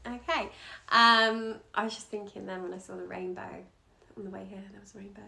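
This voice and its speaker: A woman astrologer, a podcast guest, talking candidly and casually about her work in a relaxed, soft-spoken way. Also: medium pitch, a little fast, creaky. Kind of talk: casual interview talk.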